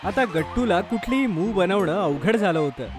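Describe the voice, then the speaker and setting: A man's voice: high-pitched, expressive, normal speed, over music. A man narrating a scripted children's story as the storyteller.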